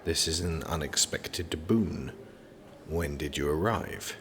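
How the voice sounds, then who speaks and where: An actor playing a busy, dutiful young prince and son in an audio drama, speaking formally and somewhat clipped. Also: male voice, extremely low pitch, slow pace, a bit bright, a little hiss or hum, heavily acted. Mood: surprised.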